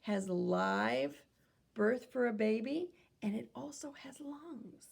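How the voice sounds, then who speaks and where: A woman reading a children's nonfiction book aloud in a teacherly way, pausing for questions and small self-corrections. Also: a little high, expressive, gravelly, husky. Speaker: read-aloud reader and teacher.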